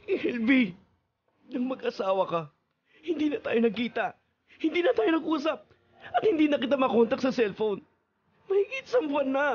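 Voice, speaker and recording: A woman's voice: sits high, very animated, moderate pace, long pauses, a little mellow, breathy edge, full character voice. A woman acting a desperate, lovesick young male classmate in a radio drama, earnest and pleading. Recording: lo-fi radio broadcast with faint noise.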